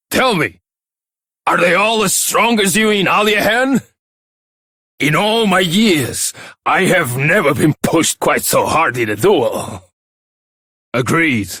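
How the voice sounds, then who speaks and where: An actor playing a noble, loyal veteran warrior in a video game, formal and measured, with a raspy voice. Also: male voice, mid-range voice, bright, forceful tone, no room sound, studio quality, full character voice. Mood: impressed. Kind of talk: scripted video game voice acting.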